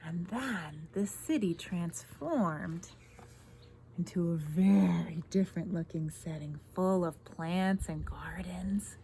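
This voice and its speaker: A woman's voice: on the low side, takes it slowly, vocal fry, mellow, slight background noise. A woman in her thirties reads a picture book aloud like a teacher, expressively, stressing key words and adding asides for young listeners. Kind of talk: children's read-aloud with commentary on the pictures. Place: outdoors in a garden.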